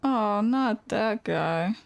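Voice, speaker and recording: A woman giving cozy, quiet gameplay commentary in a casual, reactive, muttering way. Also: medium pitch, lively intonation, very slow, creaky, no room sound. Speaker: gaming video creator. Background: game music.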